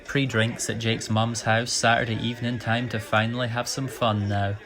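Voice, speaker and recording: A man's voice: mid-range voice, little pitch movement, normal speed, music in the background. A young British man performing rhymed slam poetry, telling a story with a rhythmic, theatrical delivery. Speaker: slam poet.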